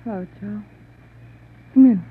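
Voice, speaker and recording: A woman's voice: medium pitch, lightly acted. Restrained, grieving actress as policeman's widow. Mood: composed. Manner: restrained, halting. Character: brave, grieving policeman's widow, quietly resolute. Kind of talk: 1940s-50s radio drama acting. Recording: muffled old radio, faint noise.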